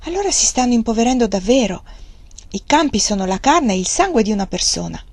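A woman's voice: on the high side, very animated, fast, a little mellow, dry recording. Woman voicing a stubborn young farmer, emphatic, eager, dry close sound. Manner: emphatic exclamations.

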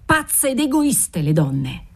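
A woman's voice: lowish voice, big pitch swings, normal speed. Woman reading as a volatile old nobleman. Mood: furious. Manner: swings from dreamy murmuring to furious shouting; fervent, obsessive. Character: aging nobleman, desperate, volatile, pious father.

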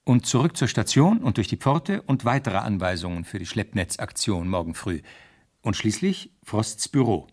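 An actor playing a young, put-upon police constable who narrates a 1970s radio crime drama, wry and husky. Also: male voice, on the low side, animated, moderate pace. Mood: wry narration.

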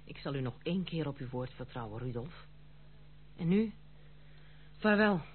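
Thin vintage radio sound with faint hum, grave young lady of the house, lowish voice, formal, measured. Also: expressive. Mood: stern. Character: dutiful, stern but kind young granddaughter running the household. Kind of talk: old Dutch radio play acting. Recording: muffled phone-like old radio recording, faint hum.

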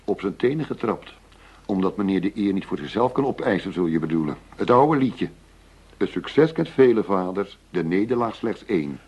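A shrewd, commanding undercover operator in a radio drama. His voice is very low and husky, with clipped, authoritative phrasing. The recording is dull and lo-fi. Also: lively intonation, moderate pace, a little mellow. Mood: dry, defiant.

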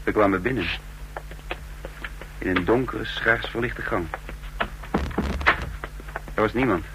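An actor playing a bewildered fugitive with no memory, brooding and halting as he tells his story in a radio drama. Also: fairly low pitch. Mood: brooding, suspenseful. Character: bewildered amnesiac man, escaped condemned prisoner, telling his story. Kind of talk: radio drama acting, brooding first-person narration. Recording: noisy, humming old radio drama recording.

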